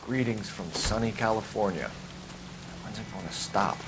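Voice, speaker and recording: An actor playing an earnest, determined homicide detective in a video game, with a clipped, serious way of talking. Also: male voice, fairly low pitch, moderate pace, noisy background, close to an everyday voice. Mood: grim. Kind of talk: video game cutscene acting.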